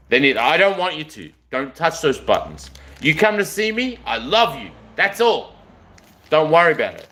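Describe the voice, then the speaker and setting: A man's voice: fairly high pitch, pushed and bright, a little hiss or hum. A man, chatting in a rambling, affectionate way on a live stream. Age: 40s.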